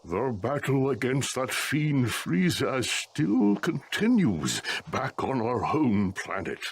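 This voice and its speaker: Slow, creaky old alien elder. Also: male voice, mid-range voice, sing-song, heavily acted. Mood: weary, grave. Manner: slow, grave, measured delivery. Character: aged, gentle, solemn alien village elder patriarch. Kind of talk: video game cutscene acting.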